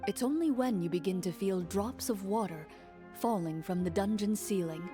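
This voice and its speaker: A woman narrating a tabletop game as game master, calm and measured. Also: mid-range voice, music in the background, natural voice. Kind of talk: scripted narration.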